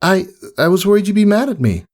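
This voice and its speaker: A man voicing an eager, excitable little girl pony from a cartoon. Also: high voice, quick pace, pitched above the performer's own voice. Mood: nervous.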